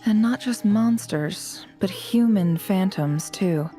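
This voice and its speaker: A woman playing a cool, calculating intelligence broker in a video game, speaking in a measured, businesslike way. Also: medium pitch, warm tone, soft background music, natural voice. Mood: composed. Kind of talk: video game story dialogue.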